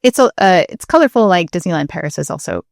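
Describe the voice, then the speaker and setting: A woman's voice: on the low side, very animated, fast, gravelly, no echo, studio quality. A woman co-hosting a talk show, explaining things in a casual, chatty way. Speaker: podcast co-host.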